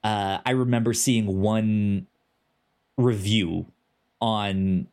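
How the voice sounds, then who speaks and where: A man with a stutter hosting a casual podcast, halting with many ums and restarts. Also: lowish voice, steady tone, darkish tone. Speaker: podcast host.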